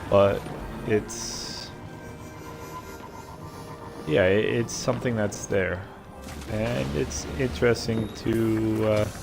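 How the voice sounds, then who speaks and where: A man from America hosting a solo vlog in a casual, reflective way, halting and repeating words as he searches for phrasing. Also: lowish voice, fairly even intonation, gravelly. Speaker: host of a solo vlog and podcast. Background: video game sound and music.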